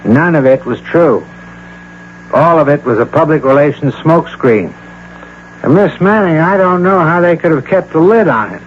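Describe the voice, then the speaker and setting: A man's voice: on the high side, animated, normal speed, natural voice. An actor playing a polite, worldly male private detective with a dry, matter-of-fact manner in a radio drama. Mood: cynical. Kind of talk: 1980 radio drama acting. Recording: dull, hissy old radio broadcast.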